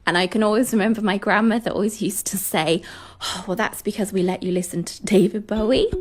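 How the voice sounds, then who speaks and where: A woman's voice: mid-range voice, steady tone, fairly quick. Chatty woman in an archive clip. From United Kingdom. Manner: chatty, laughs as she tells her life story. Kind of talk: recorded interview clip.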